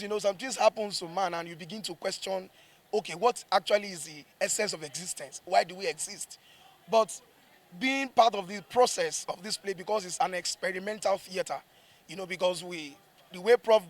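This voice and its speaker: A man with a Nigerian accent, a stage actor giving a reflective interview, emphatic and repeating words for effect. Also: sits high.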